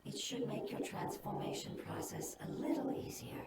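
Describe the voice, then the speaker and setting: A woman voicing a solemn, gentle elemental creature in a video game, breathy and measured in formal, grave speech. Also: lightly acted. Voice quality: breathy, whispery. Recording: echoing, distant game audio under loud music. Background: loud game music.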